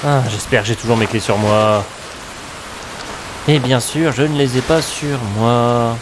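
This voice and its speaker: A man's voice: medium pitch, measured pace, noisy background, close to an everyday voice. A man playing a grumbling, nostalgic office administrator in an amateur audio drama, talking with exasperated sighs. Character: grumbling middle-aged male office administrator.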